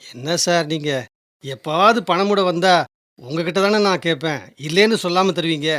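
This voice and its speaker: A man's voice: a little high, moderate pace, fairly bright tone, no echo, clean studio sound, lightly acted. An actor playing a loyal, earnest young working man in a Tamil radio drama. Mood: pleading. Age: young adult. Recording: music behind the voice.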